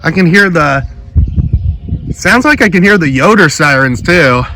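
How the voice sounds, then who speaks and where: A man's voice: on the high side, lively intonation, measured pace, pushed and bright, faint music behind. A man giving casual, off-the-cuff vlog commentary. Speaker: vlogger filming a tornado drill.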